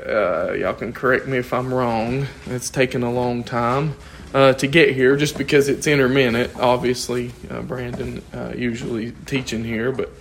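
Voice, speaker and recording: Hoarse man teaching a church class. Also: mid-range voice, fairly bright tone, a little hiss or hum. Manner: conversational, thinking aloud, asks the class questions. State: losing his voice. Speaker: Bible study teacher.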